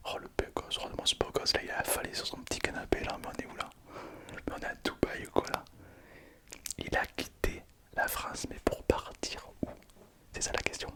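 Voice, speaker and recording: A young man whispering playfully through an ASMR video, reading comments aloud in casual slang and laughing often. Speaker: ASMR content creator.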